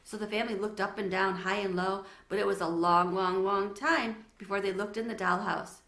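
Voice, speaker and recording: A woman narrating a children's story aloud in an expressive read-aloud style. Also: medium pitch, normal speed, echoey room.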